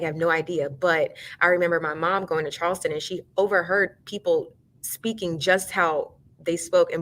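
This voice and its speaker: Chatty young woman with a lowish voice. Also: a bit bright. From New York, USA. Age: young adult. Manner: enthusiastic, chatty storytelling. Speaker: student guest. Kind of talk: casual interview answers.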